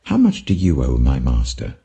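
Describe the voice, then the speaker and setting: A man's voice: extremely low pitch, huge pitch swings, moderate pace, vocal fry, warm tone, voice pushed down, exaggerated voice. A man voicing a shrewd, anxious household manager in a scripture parable, musing and scheming. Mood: brisk scheming.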